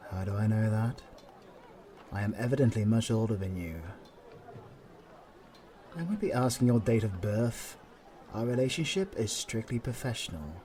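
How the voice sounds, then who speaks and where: A man's voice: fairly low pitch, mellow, slight background noise, natural voice. A British man playing a stern, aloof veteran demon hunter in a fantasy audio roleplay, speaking haughtily and formally to the listener. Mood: aloof, dry. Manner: haughty, clipped, dryly formal.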